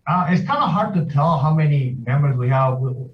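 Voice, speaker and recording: A man's voice: on the high side, animated, normal speed, distant mic, muffled recording. A man from South America who is president of a heritage group, speaking steadily with many hesitations in a remote interview. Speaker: association president, military sergeant.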